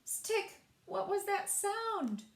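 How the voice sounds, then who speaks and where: A woman voicing an excitable little stone, a loyal and nervous friend in a children's storybook, prone to exclaiming. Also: very high voice, extremely slow, echoey room, voice pushed up, full character voice. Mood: frightened, urgent.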